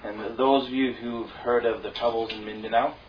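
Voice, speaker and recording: A man's voice: medium pitch, steady tone, fairly quick, over music. A man telling an audience about his volunteer work, in an easygoing, plain-spoken way with fillers. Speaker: returned volunteer. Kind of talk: informal talk to an audience. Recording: echoey room, distant dull mic, noise.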